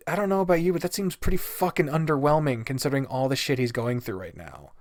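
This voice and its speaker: A man's voice: mid-range voice, no echo, studio quality. A man giving a casual, opinionated review rant in a blunt, exasperated, swear-heavy way. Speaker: video host.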